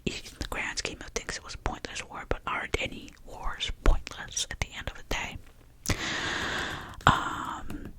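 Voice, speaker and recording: A woman, whispering softly through a chatty, rambling ASMR talk about her favourite things. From Albania. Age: 20s. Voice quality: soft unvoiced whisper. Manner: rambling and chatty, with many fillers. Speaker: ASMR creator.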